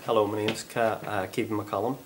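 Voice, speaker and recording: A man's voice: lowish voice, fairly even intonation, a little fast. A man, a science teacher speaking casually, a little flustered, in his classroom. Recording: noisy classroom room tone.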